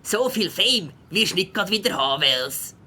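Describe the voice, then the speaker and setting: A woman playing a cheeky, stubborn young schoolboy hedgehog in a Swiss German children's audio drama, with a husky voice and cocky slang. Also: medium pitch, lively intonation, normal speed, pushed and bright. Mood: smug.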